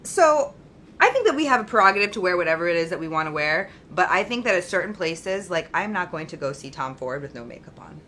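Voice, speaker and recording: A woman's voice: lowish voice, sing-song, quick pace, bright, forceful tone, a little hiss or hum. A young woman from Trinidad hosting a live fashion chat show, chatty and gushing. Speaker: host.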